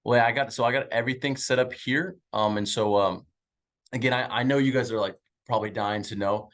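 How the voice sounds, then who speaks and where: A man's voice: medium pitch, steady tone, brisk, fairly bright tone. A young man in his twenties, an insurance sales agent, making chatty, upbeat small talk on a video call with many fillers. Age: 20s.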